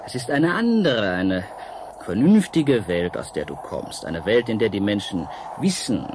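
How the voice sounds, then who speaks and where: An actor in a radio play voicing a seductive, mysterious painter from an ancient world, coaxing and dramatic with halting pauses. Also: male voice, on the low side, sing-song, noisy background. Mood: mysterious, solemn.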